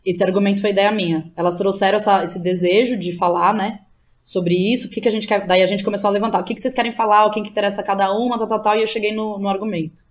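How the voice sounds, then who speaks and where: A woman's voice: mid-range voice, steady tone, fast talker. A woman with a Brazilian Portuguese accent talking casually about her own work as an interview guest, informal and slangy, thinking aloud as she goes. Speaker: guest, playwright.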